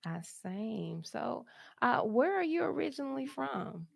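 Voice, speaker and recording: A woman's voice: a little high, sing-song, measured pace, gravelly. A woman hosting an interview, friendly and affirming toward her guest. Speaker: podcast host.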